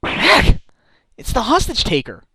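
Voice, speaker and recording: A man playing an earnest, excitable defense lawyer in a fan dub of a courtroom mystery game, emphatic and pleading. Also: extremely high pitch, unhurried, creaky, breathy edge, dry recording, exaggerated voice. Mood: startled.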